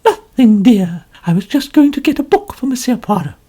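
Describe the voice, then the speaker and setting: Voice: mid-range voice, very animated, moderate pace, mellow, slightly rough, voice pushed up. A narrator putting on a gushing, pompous lady novelist. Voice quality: complaining, melodramatic.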